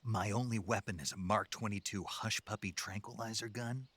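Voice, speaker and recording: An actor playing a gruff, dry-witted special forces soldier in a video game, gravelly and husky, terse and deadpan. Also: male voice, lowish voice, normal speed, no room sound. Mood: incredulous. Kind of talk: scripted video game voice acting.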